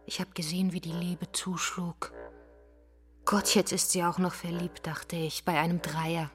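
A woman's voice: on the low side, little pitch movement, measured pace, natural voice. Actress playing a brazen, seductive, menacing mother, music behind. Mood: menacing, hushed. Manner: provocative, insinuating. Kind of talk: stage-like radio drama dialogue.

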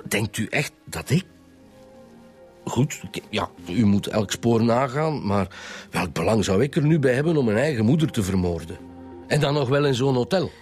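An actor with a Flemish accent playing a guarded schoolteacher, formal, precise and curt, in a radio drama. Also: male voice, medium pitch, lively intonation, normal speed, a little mellow, natural voice. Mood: indignant. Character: stiff, guarded male schoolteacher under police questioning. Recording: faint background music.

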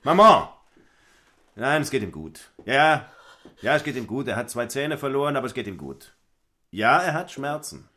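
An actor playing a coarse, cynical middle-aged husband in an audio drama, blunt and sardonic. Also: male voice, medium pitch, sing-song, normal speed, pauses often, pushed and bright. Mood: placating. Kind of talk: theatrical audio play acting.

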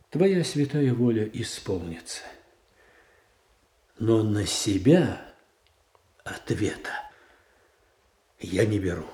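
An actor in a radio play playing a proud, calculating royal statesman with a formal, measured, firm manner. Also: medium pitch, darkish tone, roomy sound, natural voice. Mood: cold, firm. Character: proud, controlled, calculating statesman, the tsar's brother-in-law. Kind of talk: theatrical radio drama acting.

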